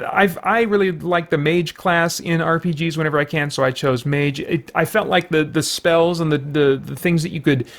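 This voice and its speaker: A man hosting a casual, unscripted video game review, explaining things conversationally with plenty of hedging. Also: fairly high pitch. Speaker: host reviewing video games.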